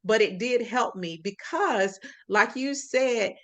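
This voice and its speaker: A woman's voice: fairly high pitch, slow, fairly bright tone. A middle-aged woman hosting a casual interview, talking expressively and laughing easily. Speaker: host.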